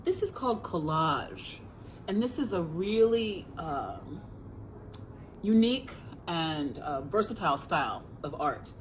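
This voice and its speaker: Unhurried, animated woman reading a storybook. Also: on the low side, a little hiss or hum. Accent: American. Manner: expressive, questioning, addresses children directly. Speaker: children's librarian reading at storytime. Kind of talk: storytime picture-book read-aloud with asides to young listeners.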